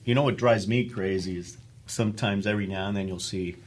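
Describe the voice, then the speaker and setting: A man's voice: fairly low pitch, fairly even intonation, fairly quick. A middle-aged man from Argentina, a lead guitarist, chatting casually and rambling with many restarts. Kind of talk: casual chat.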